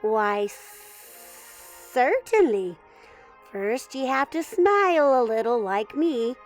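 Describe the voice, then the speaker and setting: A woman's voice: on the high side, sing-song, soft background music. A woman doing a kind patient snake teacher. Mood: kindly. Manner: warm, coaxing, unhurried.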